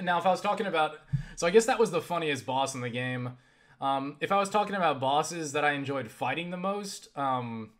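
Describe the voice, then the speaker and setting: A man chatting casually and haltingly about games, swearing freely in an animated way. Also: a little high. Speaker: video creator answering viewer questions. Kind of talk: casual rambling game chat.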